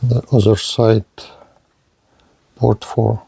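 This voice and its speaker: A man with a dark, creaky voice walks through a product hands-on in short, halting phrases. His English phrasing is non-native and his delivery is very unhurried. There is faint noise behind him. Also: lowish voice, little pitch movement. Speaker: radio reviewer.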